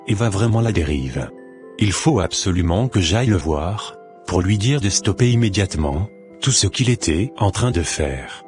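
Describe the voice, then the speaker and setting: Guy playing a righteous earnest church brother in a cartoon, really low voice, music under it. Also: a little mellow. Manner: solemn, indignant. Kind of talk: amateur cartoon monologue and dialogue. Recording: music behind the voice.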